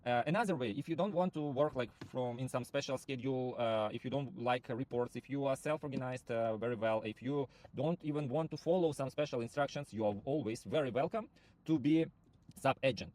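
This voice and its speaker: A man whose first language is not English, giving a halting sales pitch for a rental platform, full of fillers and restarts. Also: a little mellow. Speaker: property platform founder recruiting sub-agents. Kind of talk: screen-share walkthrough pitch.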